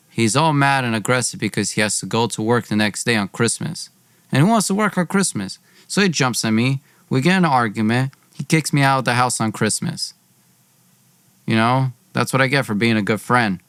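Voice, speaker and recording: A man's voice: medium pitch, lively intonation, a little fast, a bit bright, clear, resonant tone, dry recording. Man telling a dramatic personal story. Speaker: podcast host.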